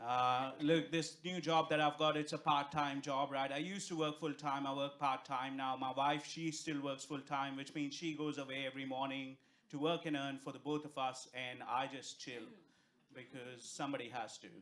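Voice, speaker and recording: Brash Indian-accented stand-up comic. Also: male voice, mid-range voice, fairly even intonation, normal speed, a bit bright. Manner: brash, profane punchlines over audience laughter. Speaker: stand-up comedian. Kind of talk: stand-up comedy set. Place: comedy club stage before a live audience. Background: audience laughter.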